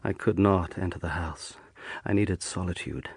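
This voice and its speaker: Low-voiced, earnest young lawyer. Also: male voice, normal speed, creaky, husky, heavily acted. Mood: brooding. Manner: gentle, dramatic, literary narrating. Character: earnest, sensitive young lawyer telling his story. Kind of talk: audio drama narration and acting.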